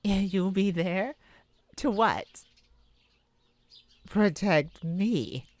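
A woman's voice: mid-range voice, lively intonation, very slow, no room sound. A woman playing a kind, anxious mage in an ASMR audio roleplay, talking hesitantly and intimately to a childhood friend. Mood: startled, teasing. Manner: hesitant, stammering, soft-hearted worrier.

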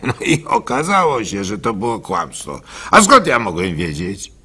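An actor playing a gullible, good-natured village simpleton who tells his own story haltingly in a radio monologue, with a husky, gravelly voice. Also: on the low side, huge pitch swings, pushed and bright, heavily acted. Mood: rueful, bemused. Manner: halting, stammering repeats, chuckles, acts out hecklers.

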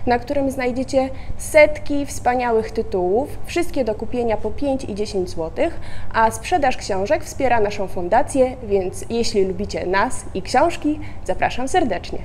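A woman's voice: fairly high pitch, animated, measured pace, a little mellow, slight background noise. A middle-aged woman from a bookshop, enthusiastically promoting new books and a book fair. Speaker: bookshop staff. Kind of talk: promotional announcement. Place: indoor bookshop.

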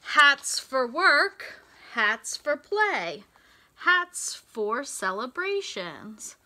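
An American woman reading a picture book aloud to young children, in a deliberate, sing-song teacher's delivery with emphasized words. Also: high voice, big pitch swings, pushed and bright. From United States. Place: small indoor room with racks of hanging clothes.

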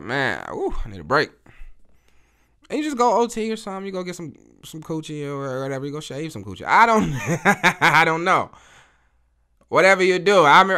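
A man in his thirties talks straight into a studio boom mic, hosting his own podcast in a small room. His voice is bright and smooth, and his pitch rises and falls a great deal. He is blunt and profane, and he circles back on his own phrases as he tells a story. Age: 30s. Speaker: podcast host. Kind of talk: casual solo podcast monologue. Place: small indoor studio with photo wall. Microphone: studio mic on boom arm, headphones on.

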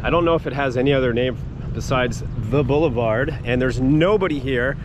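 A man's voice: medium pitch, brisk, lo-fi sound. A man in his forties chatting casually and loosely to an action camera held at arm's length while walking outdoors. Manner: chatty, upbeat, loose and rambling. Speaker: travel vlogger. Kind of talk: casual walk-and-talk vlog. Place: busy city streets and an empty riverside boulevard, outdoors, hot day. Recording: loud outdoor street noise.

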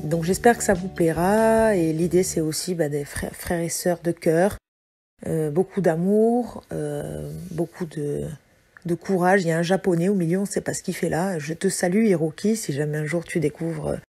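A woman's voice: fairly low pitch, moderate pace, mellow, husky. A woman in her forties, a singer-songwriter, talking through her music clips in a loose, rambling way with many hesitations. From France. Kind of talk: casual spoken commentary over music clips. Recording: music playing under the voice.

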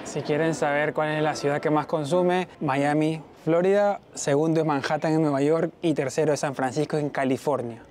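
A young man with a Peruvian Spanish accent, chatting lively and directly to his viewers in a casual vlog. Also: on the high side, normal speed, hardly any pauses, a little hiss or hum. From Peru. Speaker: travel vlogger. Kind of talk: casual vlog talk to camera.

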